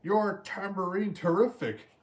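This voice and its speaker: Man doing a squawking, excitable storybook seagull, pitched up. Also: measured pace. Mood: encouraging. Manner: squawking, exclamatory. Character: excitable, encouraging, scatterbrained seagull. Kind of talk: children's storybook read-aloud.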